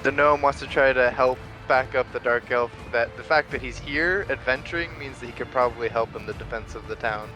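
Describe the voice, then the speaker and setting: A man playing a tabletop game with friends, chatting and roleplaying casually in a halting, stammering way. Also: medium pitch, bright, forceful tone, lo-fi sound, soft background music.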